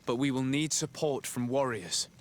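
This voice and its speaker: An actor playing a composed, decisive diplomatic envoy in a video game, speaking in a formal, measured way. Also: male voice, mid-range voice, slight background noise, natural voice. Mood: earnest, resolute. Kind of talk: scripted video game acting.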